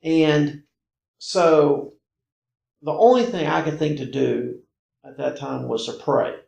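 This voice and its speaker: An American man casually recounting his own experiences as a guest, in halting, emphatic phrases and short repetitions. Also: on the high side, creaky, a little mellow. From North Carolina, United States. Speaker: podcast guest recounting personal paranormal experiences. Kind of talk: casual conversational storytelling. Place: indoor room, in-person conversation. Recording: roomy, echoey indoor recording.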